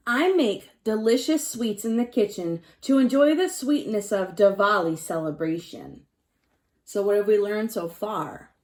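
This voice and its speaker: A woman's voice: mid-range voice, measured pace, darkish tone. A woman teacher reading a picture book aloud to young pupils, stopping to explain things in simple words and put questions straight to the children. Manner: simple explanatory phrasing, addresses children directly, emphasizes key words.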